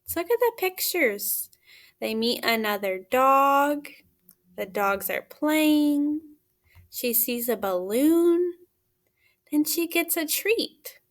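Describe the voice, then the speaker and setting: A woman reading a picture book aloud as a teacher, pausing to put simple questions to young children. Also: on the high side, fairly bright tone. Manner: simple questions with pauses for answers.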